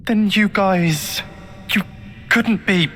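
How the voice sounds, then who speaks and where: A shaken, wary young soldier in a video game cutscene, with a medium-pitched voice. Music plays clearly behind the words. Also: slow, a bit bright.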